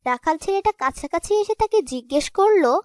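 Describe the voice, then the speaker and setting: A woman narrates a scripted fairy tale as storyteller. Her voice is very high for a woman, and the recording is dry, with no room echo. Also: lively intonation, moderate pace, darkish tone.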